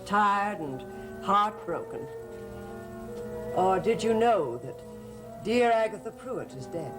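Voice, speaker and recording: A woman's voice: mid-range voice, expressive, takes it slowly. An actress in a gothic soap opera playing a sinister woman who feigns devotion in an insinuating, theatrical way. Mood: coaxing, insinuating. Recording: loud dramatic music under the dialogue.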